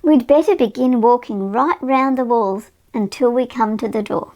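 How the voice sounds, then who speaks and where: A woman voicing a small male pixie in a storybook, animated and excitable, exclaiming as he talks. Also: a little high, moderate pace, highs cut off, voice pushed up, close to an everyday voice. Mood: alarmed.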